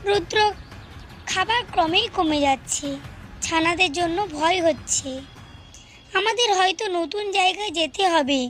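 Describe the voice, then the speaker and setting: A woman's voice: extremely high pitch, a little slow, pauses often, a bit bright, slight background noise. A woman voicing a caring mother bird in a cartoon, speaking earnestly and urgently. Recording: music playing behind. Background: background music.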